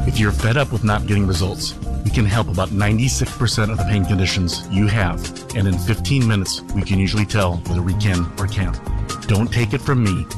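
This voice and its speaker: A man, a clinic doctor, reading his own commercial plainly and confidently. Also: low-pitched. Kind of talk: scripted commercial read.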